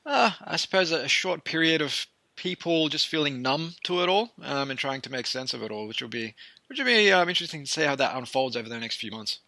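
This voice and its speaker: A male podcast host presents in a fairly high, bright voice. There is some gravelly creak at the edges as he introduces and interviews guests. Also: animated, brisk, no room sound.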